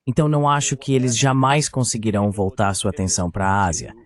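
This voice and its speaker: A man's voice: lowish voice, fast. A man giving explanatory geopolitical commentary as a guest on a discussion panel.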